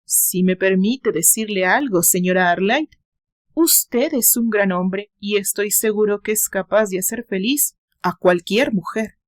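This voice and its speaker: A woman voicing a loyal male bodyguard in an audio story, speaking in a formal, measured, deferential way. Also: mid-range voice, dry recording, studio quality, natural voice. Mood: earnest. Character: loyal, polite male bodyguard, calm and discreet.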